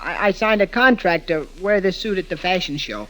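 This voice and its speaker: An actor playing a hapless, easily flustered husband, hesitant and stammering, in 1930s radio comedy style on a vintage recording. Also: male voice, sits high, brisk, a bit bright, poor audio. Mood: dismayed.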